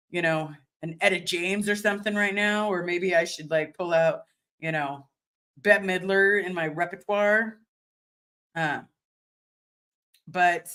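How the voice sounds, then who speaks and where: Woman, deep voice, a bit hoarse, casual livestream host, rambling, repeats herself. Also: fairly bright tone. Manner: rambling, repeats words and restarts often. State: slightly hoarse. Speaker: livestream host reading viewer chat. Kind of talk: casual live chat.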